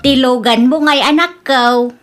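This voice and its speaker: A middle-aged mother in a Filipino radio drama. Her voice is high, and she speaks as a cautious, patient parent who gently admonishes. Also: a little slow, over music. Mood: cautious, admonishing. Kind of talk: Ilocano comedy radio drama acting.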